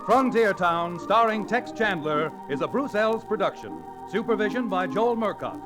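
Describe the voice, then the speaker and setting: Male 1940s radio announcer: high-pitched, declamatory, over loud organ music. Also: very animated. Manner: declamatory, drawn-out calls. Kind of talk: 1940s radio announcing, dramatic scripted narration.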